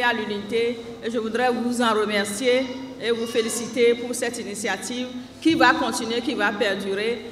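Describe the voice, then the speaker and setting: A man's voice: extremely high pitch, bright, forceful tone. A man with a Beninese accent giving a public ceremony speech as a guest official. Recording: echoey, distant microphone, faint noise.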